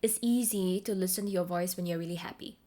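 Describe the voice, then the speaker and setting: Lower-pitched woman coaching listeners. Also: warm tone. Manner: encouraging, deliberate, addresses listener directly. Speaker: podcast host and voice coach. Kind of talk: podcast self-help coaching monologue.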